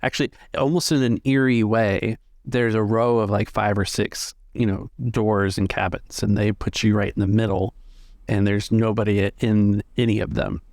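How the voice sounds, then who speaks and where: A man's voice: fairly low pitch, level tone, normal speed, a little mellow, no echo, clean studio sound. A man narrating conversationally as the game master of a tabletop game.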